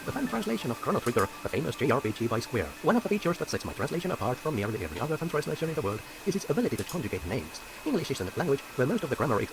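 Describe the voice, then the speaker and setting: A man with a Finnish accent reads a technical tutorial at a rapid clip, explaining step by step. His pitch rises and falls in a lively way, and a clear background hiss runs under the voice. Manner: careful, explanatory, stumbles on words. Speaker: programmer presenting a video. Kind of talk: scripted tutorial narration.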